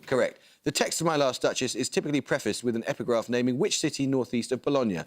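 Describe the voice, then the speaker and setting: Measured, formal quiz show host, man. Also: mid-range voice. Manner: measured, precise, formal delivery. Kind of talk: scripted quiz presenting. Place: television studio.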